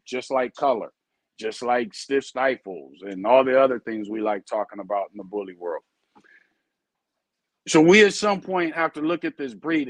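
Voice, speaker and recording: A man's voice: mid-range voice, dry recording. A man hosting a casual show, earnestly explaining things with everyday comparisons and checking in with his listeners. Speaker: show host and dog breeder. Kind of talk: casual podcast talk.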